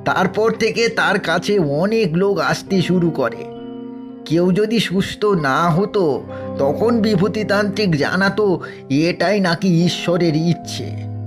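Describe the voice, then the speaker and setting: An actor playing an old, grieving, suspicious village man in an audio horror drama, halting and insistent, repeating phrases for emphasis. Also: high-pitched. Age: older.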